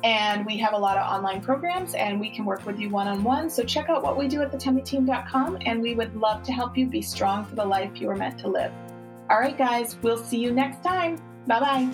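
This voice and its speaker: A woman's voice: on the high side, brisk, over music. Encouraging woman podcast host. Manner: warm encouraging coaching talk, frequent restarts. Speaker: podcast host and fitness coach. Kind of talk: casual interview chat.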